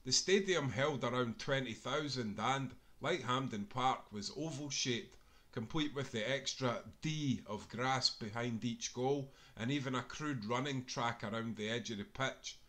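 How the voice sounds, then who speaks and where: Male Scottish narrator for a football history audiobook, very bright, forceful tone, mid-range pitch. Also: measured pace. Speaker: author reading own book aloud. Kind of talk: scripted narration, audiobook reading of sports history.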